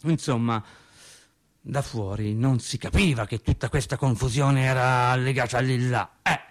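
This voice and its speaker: A man acting a theatrical radio monologue as an agitated, rambling working man who recalls his childhood in halting, repetitive colloquial speech. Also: medium pitch, fairly even intonation, close to an everyday voice. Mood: flustered, agitated. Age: adult over thirty. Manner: halting, repetitive, colloquial storytelling with stammered restarts.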